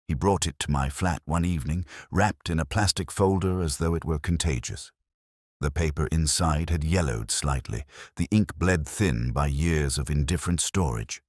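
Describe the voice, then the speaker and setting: Very deep-voiced man narrating a detective story. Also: no room sound, clean studio sound. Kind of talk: scripted first-person detective story narration.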